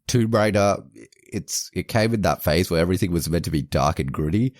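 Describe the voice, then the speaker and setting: A man co-hosting a podcast in casual chat, measured and thinking aloud as he gives his views. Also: low-pitched, no echo, studio quality. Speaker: podcast co-host.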